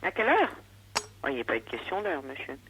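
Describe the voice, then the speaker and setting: A patient, polite woman working for a helpline, gently reproving in a phone conversation heard over a dull line. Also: lowish voice, wildly swooping pitch, fast, a little hiss or hum. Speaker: alcoholism prevention helpline worker. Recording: dull, dry telephone line.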